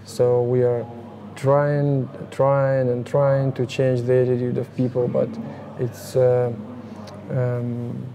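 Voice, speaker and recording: A man from Ukraine with a Ukrainian accent, answering interview questions in a halting way with many fillers and restarts. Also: medium pitch, completely flat delivery, background noise. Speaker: interviewee, harm reduction advocate.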